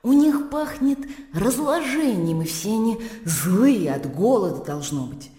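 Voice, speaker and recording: A worldly, self-assured widow in a classic radio drama. She speaks in a medium-pitched woman's voice, articulate and teasing, frankly egoistic. The sound rings with long, hall-like reverb. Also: sing-song, a little slow, mellow. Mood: scornful, ironic. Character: worldly, ironic young widow, freed and openly egoistic.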